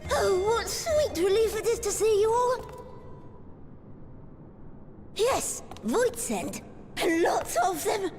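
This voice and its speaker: Tiny female rabbit creature, extremely high voice, slow flustered dramatic delivery, game cutscene, faint music. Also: big pitch swings, exaggerated voice. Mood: flustered, anxious. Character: panicky small female rabbit-like moon creature, high-strung. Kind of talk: video game cutscene acting.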